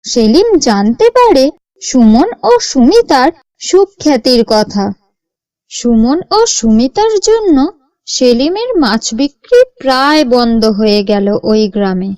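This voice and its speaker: Slow, high-pitched woman storyteller. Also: sing-song, darkish tone, dry recording. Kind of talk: scripted story narration for a cartoon.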